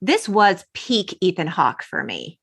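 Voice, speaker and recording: A woman in her forties hosting a casual conversational interview, expressive and enthusiastic with dramatic emphasis. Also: lowish voice, slow pace, gravelly, breathy edge. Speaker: podcast host.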